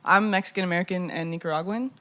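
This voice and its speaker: A young woman from Texas, guesting on a casual podcast. She talks in a lively, laughing way and swears freely. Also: lowish voice, rapid-fire. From Austin, Texas.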